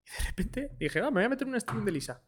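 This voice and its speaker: A man co-hosting a podcast who tells anecdotes in an animated way, acting out the lines he quotes. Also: high-pitched, quick pace, slight background noise. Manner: animated storyteller, acts out quoted speech. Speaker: podcast co-host. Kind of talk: casual podcast chat.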